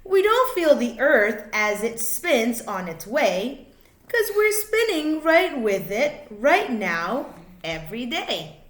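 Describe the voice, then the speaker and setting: A woman reading a children's picture book aloud like a teacher, in an expressive, sing-song way with drawn-out words. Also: fairly high pitch. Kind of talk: read-aloud children's storytelling. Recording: echoey room.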